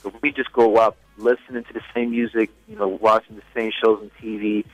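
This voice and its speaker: A man's voice: on the low side, flat delivery, brisk, no echo, faint music behind, low-quality recording. Laid-back Swedish-born young man. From Sweden. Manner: laid-back, many fillers like "you know". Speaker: singer guest. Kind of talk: casual interview chat.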